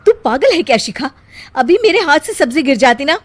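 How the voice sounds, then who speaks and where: A woman's voice: high voice, big pitch swings, a little fast, voice pushed up. A woman voicing a sensible, cautious young married elder sister in a Hindi cartoon story, with an admonishing manner. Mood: scolding. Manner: scolding, admonishing.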